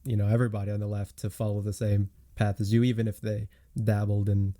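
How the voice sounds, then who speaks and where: A man's voice: on the low side, level tone, fast, dark tone. A man hosting a podcast, talking casually in a political discussion. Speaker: podcast host.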